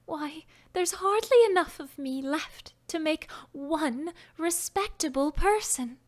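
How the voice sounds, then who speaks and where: A woman's voice: sits high, animated, slow pace, voice pushed up. A woman voicing the little girl Alice, earnest and chatty, in a storybook reading. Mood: resolute.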